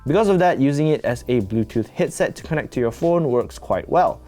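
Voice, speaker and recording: Bright, animated man narrating a product review. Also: mid-range voice, fairly quick, faint music behind.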